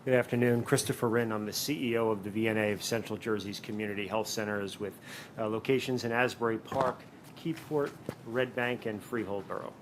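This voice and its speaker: A man with a fairly low voice gives a formal introduction as a chief executive at a roundtable. Faint noise fills the background. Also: fairly even intonation, fairly quick, rough recording. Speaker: health center chief executive. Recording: event audio with faint room noise.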